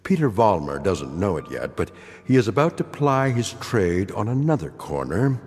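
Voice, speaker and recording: A man acting as a grave, ominous narrator of an eerie radio drama, speaking with deliberate weight. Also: lowish voice, very animated, a little mellow, slightly rough, long reverb tail. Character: grave omniscient male narrator of an eerie anthology. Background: music.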